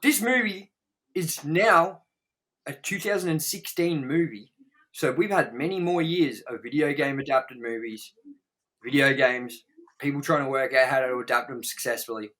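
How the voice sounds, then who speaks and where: A man's voice: mid-range voice, normal speed, a bit bright. A man co-hosting a casual movie review, speaking in an emphatic, opinionated and sweary way. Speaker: review show co-host.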